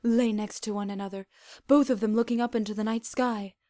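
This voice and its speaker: Woman doing audiobook style fanfic narration, medium pitch, expressive, no room echo. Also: brisk, studio quality. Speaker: fan fiction reader.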